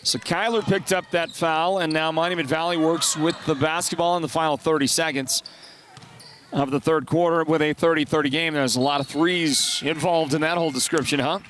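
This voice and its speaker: A man with a congested, worn-down voice calls a basketball game play by play, wandering into chatty asides between plays. His voice sits a little high for a man. Faint gym sounds and bouncing balls come through behind him. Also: steady tone, brisk, a bit bright, slight background noise. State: congested, voice partly lost. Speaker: play-by-play announcer.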